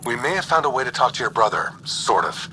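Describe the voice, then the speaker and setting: Very bright-voiced ship's doctor. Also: male voice, mid-range voice, very animated, quick pace, a little hiss or hum, poor audio. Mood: matter-of-fact. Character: calm male human ship's doctor. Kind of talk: video game dialogue acting.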